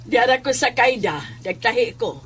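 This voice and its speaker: A woman playing a stern, suspicious middle-aged mother with a Cebuano Filipino accent in a horror audio drama, speaking in a probing, deliberate way. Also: a little high, a bit bright, slight background noise, close to an everyday voice. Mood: probing, veiled menace. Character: stern, suspicious mother questioning her child.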